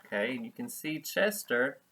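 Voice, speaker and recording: A man's voice: mid-range voice, expressive, moderate pace, bright, forceful tone, slight background noise, rough recording. A man reading a storybook aloud as a preschool teacher, patiently explaining it and repeating key words. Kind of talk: children's storybook read-aloud with simple explanations.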